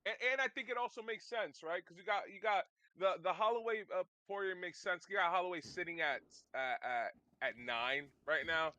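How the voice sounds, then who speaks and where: A man's voice: sits high, moderate pace, hardly any pauses, pushed and bright, no room sound. A man co-hosting a casual podcast, talking loosely and hesitantly with lots of slang. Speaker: podcast co-host. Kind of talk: casual chat about fights.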